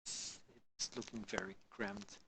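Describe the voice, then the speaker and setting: A man from Belgium chatting casually with his live-stream viewers on a headset mic while modelling, halting and full of fillers. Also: on the low side, flat delivery, vocal fry, background noise. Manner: halting, many fillers, answers chat viewers. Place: home in the Alpine region.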